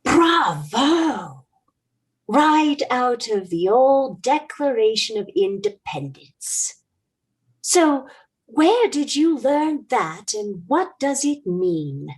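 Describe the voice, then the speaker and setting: An actress playing an emotional, idealistic woman in a 1930s radio play, halting and tremulous. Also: fairly high pitch, sing-song, heavily acted. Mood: moved, admiring. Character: emotional, idealistic American woman addressing refugee children.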